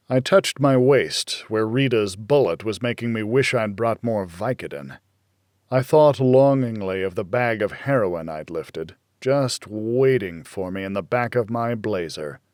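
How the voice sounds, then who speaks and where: A man narrating a first-person noir thriller in a measured, hardboiled manner. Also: fairly low pitch, no echo, studio quality. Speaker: audiobook narrator.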